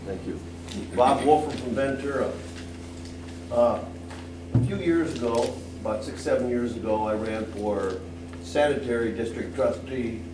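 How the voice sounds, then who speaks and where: A man's voice: mid-range voice, a little slow. An American man speaking as a resident at a council meeting, giving an informal personal account. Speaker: resident speaking at public comment. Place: indoor council meeting room. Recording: echoey meeting room, distant mic, noisy ambience.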